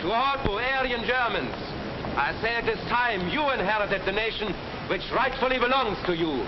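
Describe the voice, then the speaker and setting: An actor playing a hateful, rabble-rousing Nazi orator, declaiming a political speech in a 1940s film. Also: male voice, sits high. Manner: declamatory, demagogic. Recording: noisy, dull 1940s film soundtrack.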